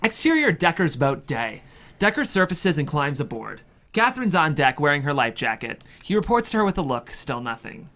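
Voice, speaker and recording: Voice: mid-range voice, sing-song, normal speed, a bit bright. A narrator reading screenplay scene headings and action lines at a table read. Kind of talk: scripted narration of screenplay stage directions.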